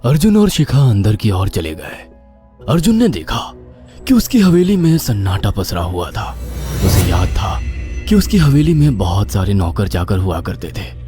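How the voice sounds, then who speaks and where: A man's voice: lowish voice, very animated, moderate pace, dark tone, faint music behind. A man narrating a scripted horror story in a dramatic style.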